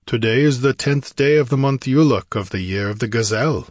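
A man's voice: mid-range voice, brisk, no room sound, clean studio sound, lightly acted. A man voicing a bold, plain-spoken barbarian king in a fantasy audiobook. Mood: matter-of-fact.

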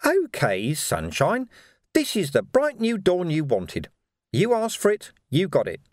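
A man acts out a villainous captain of a watch gang in an audiobook, sneering and bullying with false matiness. The voice is raised in pitch and swings about with lively menace. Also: takes it slowly, no room sound, studio quality, full character voice. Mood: falsely matey.

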